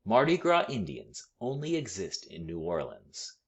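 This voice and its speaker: Deep guy reading a kids book out loud, teachery, super lively intonation. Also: a little slow, gravelly, slightly rough, highs cut off. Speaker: school read-aloud storyteller. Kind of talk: expressive picture-book reading for children.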